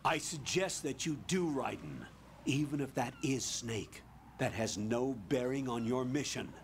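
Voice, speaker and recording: Stern commander, curt orders, mid pitch, video game radio call. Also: male voice, a little slow, vocal fry, slight background noise.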